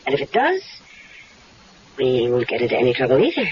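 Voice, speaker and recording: A scheming wife in an old radio mystery plays it sweet while she plans a murder. Her voice swoops with lively melody, and the broadcast sounds dull, with a faint hiss underneath. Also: very deep voice, a little fast, full character voice. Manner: coaxing, confident, sweetly calculating. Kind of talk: 1970s radio drama acting.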